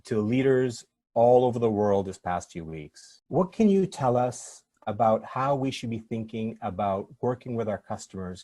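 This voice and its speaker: A man's voice: lowish voice, warm tone. A man hosting a business podcast, earnestly introducing his guest and asking prepared questions. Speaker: podcast host. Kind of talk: interview introduction.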